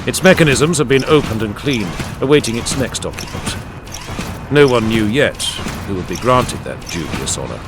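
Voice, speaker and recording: Lively male audiobook narrator over music. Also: lowish voice, moderate pace. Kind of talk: dramatic scripted narration. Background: music and added sound effects.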